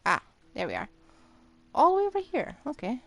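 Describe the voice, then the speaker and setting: Animated woman streaming a game. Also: high voice, brisk, slight background noise. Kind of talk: casual live gameplay commentary. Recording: game music clearly behind.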